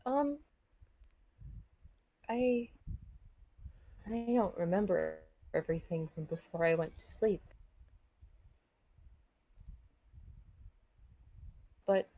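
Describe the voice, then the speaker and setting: A woman voicing a timid, earnest, excitable innocent in a visual novel, hesitant and wide-eyed. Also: on the low side, slow pace, pauses often, exaggerated voice. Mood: timid, brave.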